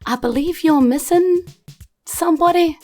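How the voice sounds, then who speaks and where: A woman's voice: very high voice, moderate pace, a little mellow, soft background music, pitched above the performer's own voice. A woman voicing a cryptic, self-effacing old woman wanderer who halts and searches for words in a tabletop game. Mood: cryptic, deliberate. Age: older.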